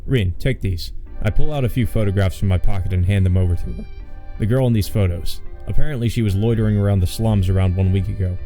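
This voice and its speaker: A man's voice: on the low side, fast talker, mellow, soft background music. A man hosting a let's play, reading a visual novel aloud with casual commentary.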